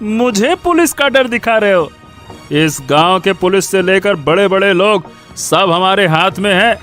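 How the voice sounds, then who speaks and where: A man playing a spoiled, menacing young bully from a rich family in a story, taunting with drawn-out words. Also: high voice, lively intonation, fairly bright tone, faint music behind. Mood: menacing, smug. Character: menacing, mocking young village bully, rich merchant's son. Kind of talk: Hindi audio story dramatic acting.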